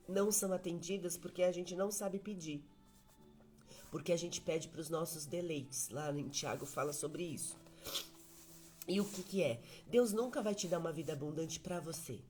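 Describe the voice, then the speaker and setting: A woman's voice: fairly low pitch, warm tone. A woman giving a morning devotional talk, speaking earnestly, asking listeners questions and repeating key phrases for emphasis.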